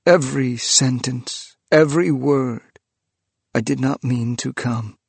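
A man's voice: medium pitch, slow, vocal fry, slightly rough, no room sound, voice pushed up, heavily acted. A man voicing a frightened, mournful male ghost who speaks in a trembling, pleading way.

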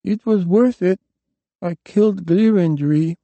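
A man's voice: sits high, unhurried, warm tone, dry recording, dull audio, voice pushed up. A man voicing an ancient, frail male warlock who is dying, with a thin, strained old voice, in an audiobook reading. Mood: weak.

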